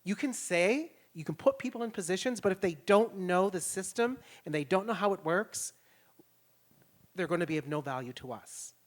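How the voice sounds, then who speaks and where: A man's voice: high voice, animated, moderate pace. A man speaking as a panelist at a public event, emphatic and impassioned. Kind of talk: panel discussion.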